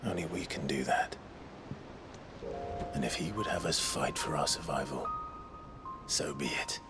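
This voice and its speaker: An actor playing a stoic, resolute warrior and protective elder brother in a dramatic video game, grave and measured, with music behind him. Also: male voice, a bass voice. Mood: grim resolve.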